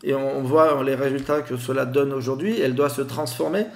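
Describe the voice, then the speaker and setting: A man with a slight Grenoble accent speaks in a lively, sing-song manner as a podcast guest. His voice sits at an ordinary pitch. The room adds a clear echo around him. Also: a little fast. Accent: Grenoble French. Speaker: guest, telemarketing expert. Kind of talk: podcast interview.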